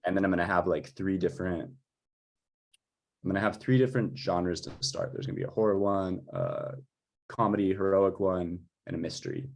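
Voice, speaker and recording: A man talking informally about his work as a music composer, with a husky voice. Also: low voice, big pitch swings, fairly quick. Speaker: music composer for audio fiction.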